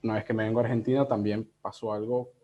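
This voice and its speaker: Mellow-voiced Venezuelan man, dull call audio. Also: lowish voice, flat delivery, quick pace. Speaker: interviewed book editor. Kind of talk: casual reflective interview talk. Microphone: video call.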